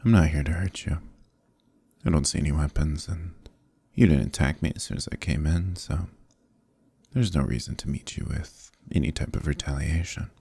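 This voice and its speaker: A man playing a smugly menacing evil knight in an ASMR roleplay, speaking in a slow, intimate, condescending monologue with softly threatening delivery. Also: dry recording, clean studio sound, full character voice. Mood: coaxing, calm. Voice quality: very deep, gravelly, husky.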